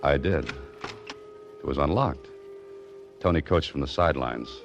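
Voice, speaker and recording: A wry, hard-boiled detective. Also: male voice, rumbling low, animated, a little slow, dull audio, music in the background. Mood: narrating. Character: wisecracking hard-boiled male detective narrator. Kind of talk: 1940s radio acting.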